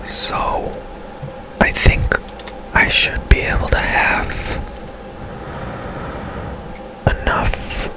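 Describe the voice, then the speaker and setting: A man's voice: very slow, pauses often, rough recording. A man whispering casual, rambling ASMR commentary over his own gameplay, musing as he goes. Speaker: gaming streamer narrating own gameplay.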